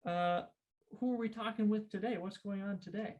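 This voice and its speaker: A man's voice: high voice, steady tone, normal speed, a little mellow, dull audio. A man hosting a casual, chatty podcast, enthusiastic and inclined to repeat his phrases. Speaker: podcast host.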